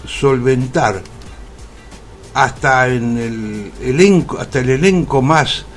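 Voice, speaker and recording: An older man with a Rioplatense Argentine accent, explaining things deliberately as a guest in a radio interview. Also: mid-range voice, faint music behind. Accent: Rioplatense Argentine Spanish. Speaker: interview guest, theatre actor.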